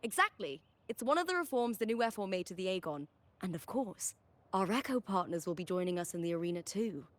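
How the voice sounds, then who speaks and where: A woman's voice: mid-range voice, sing-song, a little fast, no echo, close to an everyday voice. A woman voicing a confident, spirited young gladiator and friendly battle partner in a video game. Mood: confident, explaining.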